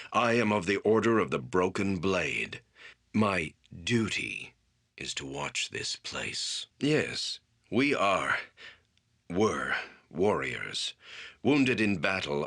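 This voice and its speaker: An actor playing a stern, proud veteran battle priest in a fantasy game, with a deep, raspy voice and terse, declamatory speech. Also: male voice, sharp tone, heavily acted. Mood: guarded, stern, then solemn.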